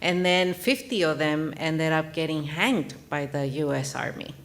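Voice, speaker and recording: A woman's voice: low voice, measured pace. A woman from Mexico, a native Spanish speaker, speaking as an author guest at a live panel in an earnest, reflective way with frequent restarts. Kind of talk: panel discussion.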